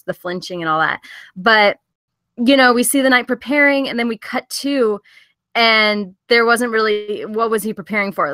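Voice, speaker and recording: Woman, bright forceful tone, dry sound, conversational, candid critique. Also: mid-range voice, dry recording. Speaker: table read participant giving feedback. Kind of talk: casual critique.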